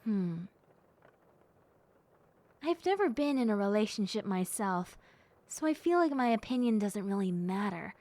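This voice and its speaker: A woman voicing a gentle, cheerful young bat girl in an intimate audio roleplay, speaking earnestly and reflectively to the listener. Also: medium pitch, expressive, no room sound. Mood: wistful, reflective. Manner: soft-hearted, earnest, reflective, unhurried.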